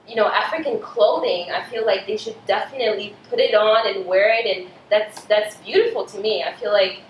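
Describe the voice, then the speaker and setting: A woman's voice: medium pitch, fairly quick, highs cut off. A young woman from Cameroon, a native French speaker, chatting casually and assertively as she trades questions and answers on a vlog. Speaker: vlog host. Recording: roomy echo, clear background noise.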